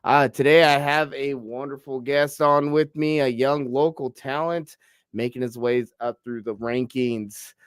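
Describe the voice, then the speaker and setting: A man's voice: medium pitch, fairly even intonation, a little slow, smooth, no room sound, clean studio sound. A young man hosting a casual combat-sports podcast, a little stuffy from a cold, laid-back with lots of fillers and "bro". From Pacific Northwest, US. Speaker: podcast host. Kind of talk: casual interview chat.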